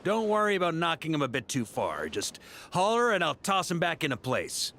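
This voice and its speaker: A man's voice: a little high, sing-song, normal speed, pushed and bright, a little hiss or hum, exaggerated voice. An actor playing a boisterous male knight commander who loves a drink, talking in a jovial, folksy way as in a video game. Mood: amused, reminiscing. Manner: jovial, folksy, clipped storytelling.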